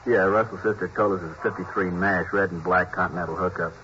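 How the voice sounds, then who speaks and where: A low-voiced detective partner reports facts quickly and plainly. It sounds like a muffled old radio broadcast with faint hiss behind it. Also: male voice, steady tone, pushed and bright. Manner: brisk, businesslike reporting. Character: steady male police detective partner. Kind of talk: 1950s radio police drama.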